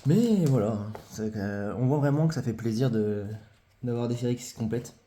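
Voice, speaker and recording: A man's voice: medium pitch, animated, a little fast, mellow, a little hiss or hum. A man casually showing his card collection, talking hesitantly with many fillers and repeated phrases. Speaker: collector presenting his trading cards. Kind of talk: casual show-and-tell vlog.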